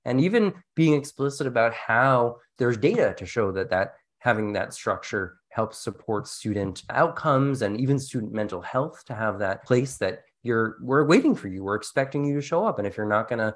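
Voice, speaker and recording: A man's voice: mid-range voice, animated, moderate pace, few pauses. A man hosting an educational podcast in a casual, conversational way with a jokey streak. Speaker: podcast host.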